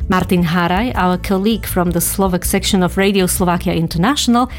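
A woman presenting a radio program and interviewing a colleague in a calm, steady way. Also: lowish voice, brisk, soft background music. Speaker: radio host.

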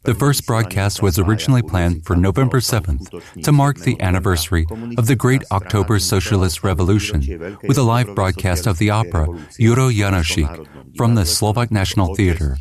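A man reading a translated voice-over in a steady, plain way. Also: lowish voice, fairly quick, a crowd in the background.

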